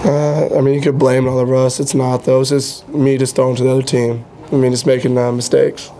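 A man's voice: medium pitch, flat delivery, brisk, noisy background. A young man answering reporters at a postgame press conference as a college quarterback. He talks in a laid-back, blunt, self-critical way and is losing his voice. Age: young adult.

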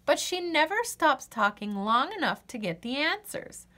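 A woman narrating a children's storybook aloud with expressive, deliberate phrasing. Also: high voice.

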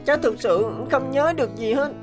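Little cartoon bird lady doing it, highish voice, stammery and expressive, music behind. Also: brisk, natural voice. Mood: humble, eager. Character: young cartoon bird, naive or scheming by turns. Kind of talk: animated fairy-tale dubbing.

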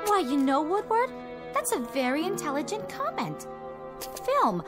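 An actress playing a sweet, excitable, teasing crook's partner in a radio drama, gushing and chirpy. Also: female voice, high voice, measured pace. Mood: delighted. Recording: radio drama with music underneath.

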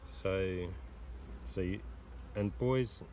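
Deep London beekeeper, relaxed teacher, outdoors with bees humming behind. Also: male voice, extremely slow. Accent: London English. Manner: relaxed, explains step by step with restarts. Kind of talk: hands-on teaching chat. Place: outdoor community garden by beehives. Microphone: camera nearby, outdoors. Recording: outdoor recording with noise behind. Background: buzzing bees and outdoor ambience.

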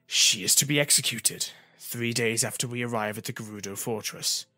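Man acting a stern, guarded warrior woman, husky. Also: mid-range voice, normal speed, pushed and bright, dry recording. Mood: firm, guarded. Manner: firm, curt, controlled.

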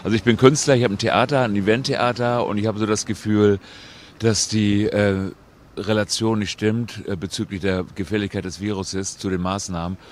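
An older man speaks his mind as a passer-by in a street interview. His voice is on the low side, with faint traffic noise around him. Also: steady tone, normal speed. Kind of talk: casual opinionated street interview. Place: busy city street outdoors. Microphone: interviewer's handheld microphone.

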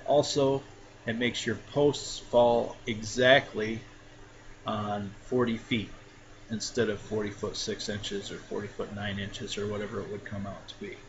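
A man casually talking through a software tutorial step by step, with frequent fillers. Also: on the low side, unhurried. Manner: relaxed, talks through each step with fillers. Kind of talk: casual screen-recorded tutorial. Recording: dull lo-fi mic with clear background noise.

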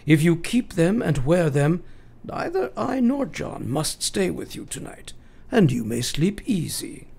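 A woman playing a grave, eccentric male professor of medicine in a radio play, speaking solemnly and deliberately. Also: lowish voice, very animated, creaky, mellow, slightly rough, full character voice. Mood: solemn, insistent. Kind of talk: radio drama acting.

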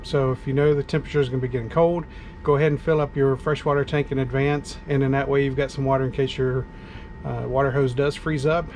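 Southern middle-aged man, mellow and even-toned, casual how-to vlog, faint outdoor hiss. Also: mid-range voice, fast talker. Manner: easygoing, plain-spoken, with frequent uh fillers. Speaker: RV vlogger. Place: outdoors at a snowy campground and inside an RV.

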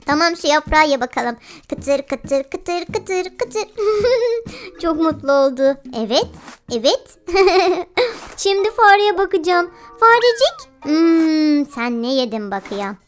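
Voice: very high voice, very animated, slow. A playful host of a children's game video with an exclamatory, giggly, cooing way of talking to cartoon animals. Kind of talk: playful children's gameplay commentary. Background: children's music.

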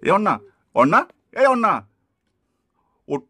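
A man's voice: sits high, sing-song, unhurried, pushed and bright, breathy edge, exaggerated voice. A man voicing a protective, alarmed village rescuer in a puppet cartoon, urgent and emphatic. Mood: worried.

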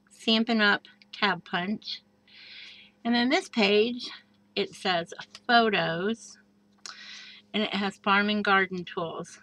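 A woman with a bright, clear voice chats through a craft walkthrough. She talks casually and gushes over each piece, slipping in soft asides and little fillers. She is recorded indoors at a craft table, filmed from above. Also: mid-range voice, dry recording, low-quality recording. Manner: chatty and gushing, with many fillers and soft asides. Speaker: crafter showing a handmade junk journal. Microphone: overhead camera above a craft table.

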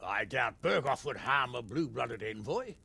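A blunt, earnest nobleman in a game scene. His voice is gravelly and husky with a bright, tense edge, and his pitch swings widely. The sound is dry and close. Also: big pitch swings, a little slow, no room sound, heavily acted. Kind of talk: video game cutscene dialogue.

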